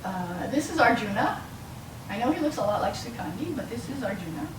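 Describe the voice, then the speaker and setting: A woman's voice: on the high side, expressive, a little fast. Distant, echoey woman lecturer. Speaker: university lecturer. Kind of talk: academic lecture to an audience. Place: lecture room, mic some way off. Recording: roomy, distant mic, clearly noisy.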